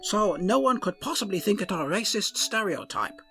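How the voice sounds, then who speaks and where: A man's voice: sits high, sing-song, normal speed, pushed and bright, soft background music, full character voice. A man voicing a fussy, earnest male ship's doctor who speaks formally in an odd, blended put-on accent, for a comic audio drama.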